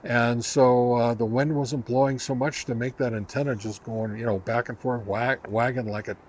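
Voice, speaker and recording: A man presents a hands-on equipment review in a medium-pitched voice. His delivery is casual and folksy. He talks conversationally and rambles a little, like a host explaining results to regular viewers. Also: steady tone, normal speed. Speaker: host reviewing ham radio gear. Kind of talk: casual product test review.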